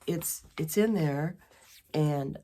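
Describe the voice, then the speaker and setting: A woman's voice: low-pitched, extremely slow, warm tone. A middle-aged woman hosting a knitting podcast from her dining room at home, talking in a chatty, rambling way with a stuffy head from a cold. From western Wisconsin. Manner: chatty and rambling, with many ums and restarts. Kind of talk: casual chat.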